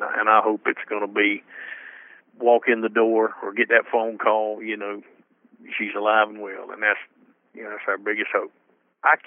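A man, a law enforcement officer speaking earnestly and haltingly in an interview, with many restarts. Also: lowish voice, dry recording. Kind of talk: interview remarks. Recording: tinny phone-like recording.

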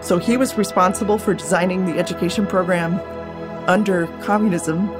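A woman's voice: on the low side, fairly quick, over music. A woman speaking as an interviewed scholar, explaining things casually and thinking aloud as she goes. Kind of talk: interview answers.

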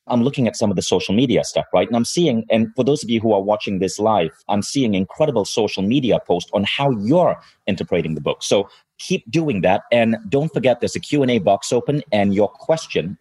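A man's voice: lowish voice, dark tone, husky. A male host running a live-streamed interview, enthusiastic and speaking to the audience watching.

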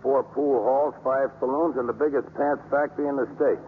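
An American actor playing a gruff, sardonic baseball manager in a 1950s radio drama. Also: male voice, medium pitch, steady tone, fairly quick, close to an everyday voice. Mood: dry, curt. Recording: muffled, noisy 1950s radio broadcast.

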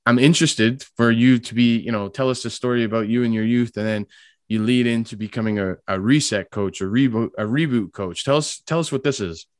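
A man's voice: mid-range voice, a little fast, no room sound, studio quality. A man hosting an interview, talking casually and encouragingly, often tagging on "right?". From Canada. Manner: easygoing, encouraging, many "you know" and "right?". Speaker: podcast host.

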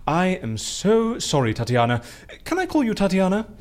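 An actress playing an excitable, whimsical female boss in an audio drama, effusive and theatrical with quaint turns of phrase. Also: medium pitch, normal speed. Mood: indignant, apologetic.

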